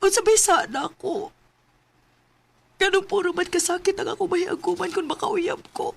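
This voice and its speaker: A woman's voice: extremely high pitch, normal speed, vocal fry. A Cebuano actress playing a devoted, easily hurt young woman in a romantic radio drama, with an emotional, pleading manner. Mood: sad, brooding. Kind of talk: Filipino radio drama acting.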